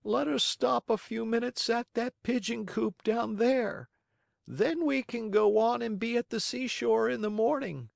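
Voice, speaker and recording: A storyteller voicing a kindly, helpful talking pigeon in a high voice with a measured, gently instructive manner. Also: creaky, no room sound, clean studio sound. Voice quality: high-pitched.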